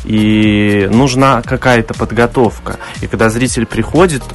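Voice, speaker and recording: Low baritone man, interview guest. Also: fairly even intonation, measured pace, faint music behind. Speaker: interview guest, classical singer. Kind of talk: conversational, explanatory interview answers.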